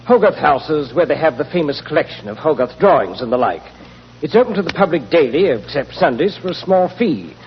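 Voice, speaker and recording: An actor playing a nervous, genteel British banker, hesitant and stammering, in the manner of 1940s radio drama. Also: male voice, on the high side, sing-song, fairly quick. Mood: hesitant, obliging. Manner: hesitant, fussy, polite, stammering. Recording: muffled, hissy, reverberant 1940s radio recording.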